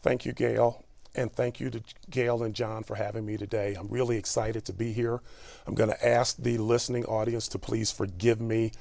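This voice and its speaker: A man with a lowish voice, a little husky because he has a cold. He speaks as a conference presenter and opens his personal story warmly. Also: level tone, normal speed, no echo. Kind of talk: personal talk.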